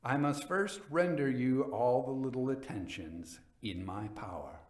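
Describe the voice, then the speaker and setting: A man giving a dramatic reading as a coldly vengeful nobleman recounting his murder in a gothic tale, theatrical, deliberate and emphatic. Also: mid-range voice, darkish tone, echoey room, natural voice. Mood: mock concern.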